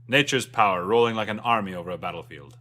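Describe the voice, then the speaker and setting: A man's voice: lowish voice, fast, bright, forceful tone. A man voicing a confident, commanding riverboat captain in a fantasy story, with emphatic delivery. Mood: admiring.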